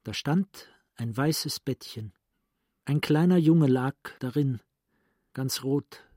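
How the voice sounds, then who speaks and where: Introspective cultured psychiatrist in a radio play, slow talker, bit husky. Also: male voice, medium pitch, darkish tone, no room sound. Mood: haunted recollection. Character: cultured, introspective male psychiatrist recalling childhood. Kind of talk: literary radio drama monologue.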